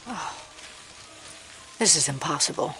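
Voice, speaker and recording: A woman's voice: deep voice, animated, unhurried, creaky, pushed and bright, faint music behind, heavily acted. An actress playing a sceptical female investigator in an audio drama, questioning and incredulous. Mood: wary. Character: sceptical, alarmed female investigator held along.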